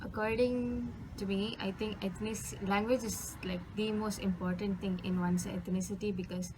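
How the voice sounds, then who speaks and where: A woman's voice: medium pitch, fairly even intonation, moderate pace. A woman from India, an international student explaining her views with many fillers. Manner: fluent but filler-heavy, many "like" and "um". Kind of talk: casual spoken answers. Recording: noisy background.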